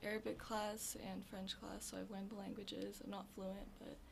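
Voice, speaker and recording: A teenage girl answers interview questions in a soft, gravelly voice with plenty of vocal fry. She fills her talk with "like" and "um". A faint hiss runs under the recording. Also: medium pitch, level tone, moderate pace. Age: teens. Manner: soft-spoken, filler-heavy with frequent "like". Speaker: interview guest. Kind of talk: casual interview.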